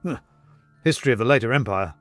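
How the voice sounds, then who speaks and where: Man playing a brisk, bookish, animated monk. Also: medium pitch, dry recording, faint music behind, lightly acted. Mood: flustered. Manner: bookish, wordy, exclamatory, polite. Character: brisk, fussy, courteous male monk. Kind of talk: storybook character voice in audiobook.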